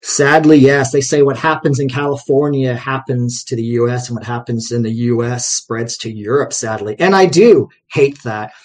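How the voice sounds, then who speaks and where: A man's voice: medium pitch, fairly even intonation, moderate pace, hardly any pauses, a little mellow. A man talking in a rambling, conversational way as a guest in an interview. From United States. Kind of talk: casual interview talk.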